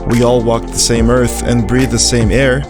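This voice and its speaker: A man speaks as a video creator in a scripted sign-off to his viewers. His pitch is average, and music plays clearly underneath. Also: flat delivery, normal speed. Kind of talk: scripted outro addressed to viewers.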